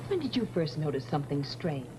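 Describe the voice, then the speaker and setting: A woman's voice: low voice, sing-song, fairly quick. Wry, uneasy female publicist, vintage suspense drama, very varied intonation, dramatic musing delivery. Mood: anxious. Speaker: theatrical press agent. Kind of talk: mid-century suspense drama acting. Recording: vintage broadcast with background music and noise.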